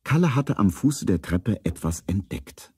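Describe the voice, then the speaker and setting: A man's voice: low voice, sing-song, measured pace, dark tone, husky, dry recording, studio quality, heavily acted. A man narrating a 1970s German children's radio play in a scripted storybook style. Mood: measured storytelling.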